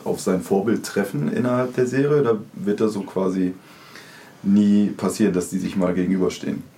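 A man asks casual interview questions as a podcast co-host. His voice is very deep, dark and gravelly, with a relaxed conversational delivery. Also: brisk.

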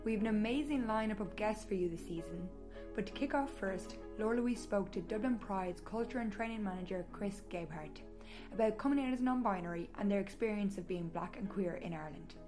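A woman's voice: medium pitch, brisk. Woman reading a podcast intro over music. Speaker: podcast host.

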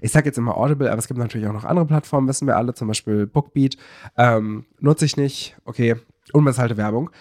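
A man chatting casually on a podcast, circling his point with frequent fillers and restarts. His voice sits at a middling pitch for a man. The recording is close and dry, with no room sound. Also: quick pace, darkish tone, no echo. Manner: rambling, many fillers and self-corrections. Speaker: podcast co-host. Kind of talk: casual chat.

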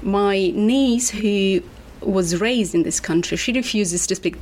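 A woman's voice: medium pitch, takes it slowly, darkish tone, a little hiss or hum. Guest author, woman in her 40s from Moldova, Romanian native speaker; reflective, hesitant, plenty of fillers. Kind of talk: casual interview.

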